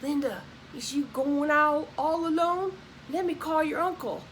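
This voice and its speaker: A woman's voice: high-pitched, unhurried, a little hiss or hum. A woman voicing a faithful, anxious servant woman in an audiobook, with a Southern US dialect and an urgent, pleading manner. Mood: concerned. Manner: urgent, pleading, hushed warning. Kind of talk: audiobook character voice.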